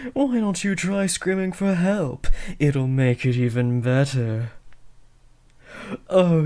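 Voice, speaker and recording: A man's voice: on the high side, darkish tone, clean tone. A young man playing a villain's teenage son in a scripted roleplay, taunting theatrically and slipping into sheepish, casual talk. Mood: smug, taunting. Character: teenage villain's son playing at kidnapping, cocky but awkward. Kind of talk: scripted roleplay audio addressed to the listener.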